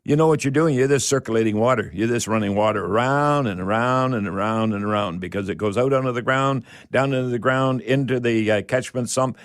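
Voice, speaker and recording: An older man hosting a home repair radio show, explaining in a folksy, halting way and repeating himself for emphasis. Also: medium pitch. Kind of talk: conversational radio advice, explaining to listeners.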